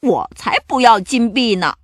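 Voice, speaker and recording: A woman voicing a timid, slow-spoken male ugly monster in a children's story, with a hesitant, stammering delivery. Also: fairly high pitch, lively intonation, dry recording, studio quality. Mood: content.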